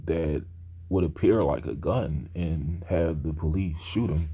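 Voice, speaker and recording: A man's voice: very deep voice, measured pace, mellow, slightly rough. A man in his thirties talking in a rambling personal monologue, earnest and hesitant, with many restarts. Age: 30s. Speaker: aspiring screenwriter talking to camera.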